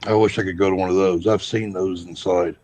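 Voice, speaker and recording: A man joining a casual chat and agreeing warmly. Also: deep voice, steady tone, a little fast.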